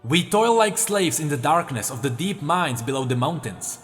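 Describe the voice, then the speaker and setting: A man's voice: a little high, animated, moderate pace, in a large hall, soft background music, lightly acted. A man voicing a proud young nobleman who speaks in a refined, emphatic way. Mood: impassioned.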